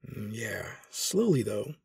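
Husky, gravelly, smug young warrior played by a man. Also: lowish voice, big pitch swings, slow, warm tone, dry recording, heavily acted. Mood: smug, dry. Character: smug, formal young dark-side warrior brother.

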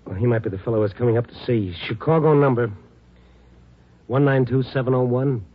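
A man's voice: mid-range voice, brisk, breathy edge, no echo, natural voice. Male actor as newspaperman, dogged, compassionate, terse, probing, vintage radio drama, dulled sound. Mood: gentle, probing. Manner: terse first-person storytelling and probing questions. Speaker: actor in the lead role and narrator. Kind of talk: 1950s radio drama acting. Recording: muffled old radio recording with music.

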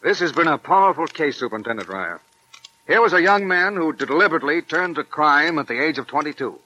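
Animated male host on dull old radio. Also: fairly high pitch, fairly quick, slight background noise. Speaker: program host interviewer. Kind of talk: 1940s radio scripted interviewing. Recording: dull lo-fi 1940s radio recording.

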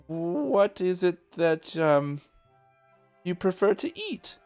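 Ardalan, a flustered high-voiced palace steward. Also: male voice, very animated, slow pace, darkish tone, soft background music, heavily acted. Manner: flustered, eager to please. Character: fussy, nervous male palace steward.

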